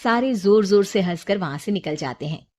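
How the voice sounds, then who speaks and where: A woman's voice: mid-range voice, lively intonation, moderate pace, mellow. Woman narrating a moral story.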